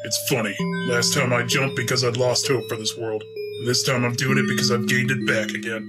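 Guy playing unhinged army general, firm and dramatic, comic dub, really loud music behind. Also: medium pitch, level tone, a little fast, close to an everyday voice. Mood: grave, resolute. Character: unbalanced, resolute career military general. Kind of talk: comic book dub acting.

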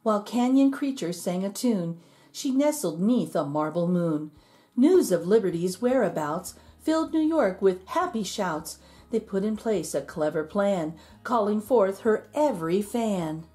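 A woman reading a rhyming children's picture book aloud in an expressive, measured storytelling manner. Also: medium pitch. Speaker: storybook reader.

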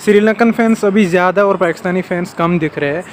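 Young guy filming a vlog on his phone, kinda high voice, walking around, lots of outdoor noise. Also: quick pace. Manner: walking tour commentary, pointing things out. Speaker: vlogger. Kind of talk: casual travel vlog narration. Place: outdoors, metro station and stadium. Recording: loud street and crowd noise.

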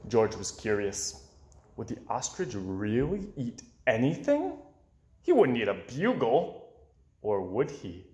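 A man's voice: mid-range voice, wildly swooping pitch, takes it slowly, a little mellow. A man, reading a children's story aloud with dramatic emphasis. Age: 20s. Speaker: storybook reader. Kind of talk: expressive children's read-aloud narration. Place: small indoor room with bookshelves. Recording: roomy indoor echo.

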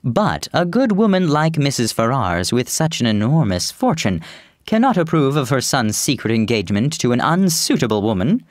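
A stiff, self-important gentleman, put on by a woman reader, speaks in a deep, lowered voice with a grave, pompous air. His pitch swings widely as he lectures. The reading is dry and close, with faint music underneath. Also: sing-song, normal speed, no echo.

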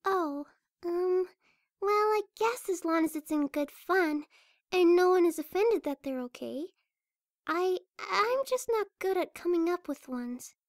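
A woman voicing a shy, gentle young cartoon pegasus pony with a hesitant, stammering delivery. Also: extremely high pitch, animated, measured pace, no room sound, studio quality, full character voice. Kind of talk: amateur cartoon fan audio drama.

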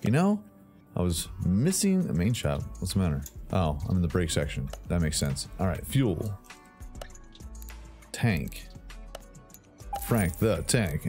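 A man's voice: deep voice, big pitch swings, moderate pace, mellow. Goofy man playing a car game. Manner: goofy, sings and makes silly sound effects. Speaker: gaming channel host. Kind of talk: playful gameplay commentary. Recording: faint game music behind.

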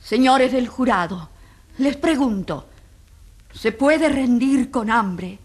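An actress playing an earnest, impassioned advocate addressing a jury with emphatic rhetorical questions in a radio courtroom drama. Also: female voice, a little high, lively intonation, takes it slowly, breathy edge, full character voice.

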